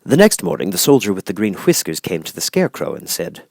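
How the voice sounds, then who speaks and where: A man's voice: low voice, huge pitch swings, quick pace, gravelly, no room sound. A man reading a scripted children's storybook as an audiobook narrator.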